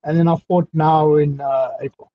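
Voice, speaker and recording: A man's voice: fairly high pitch, normal speed, dry recording. A man in his thirties with a South African accent, talking casually as a guest over a headset on a video call from a small indoor room. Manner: relaxed, chatty, laughs easily. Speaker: guest fighter. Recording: muffled lo-fi call audio.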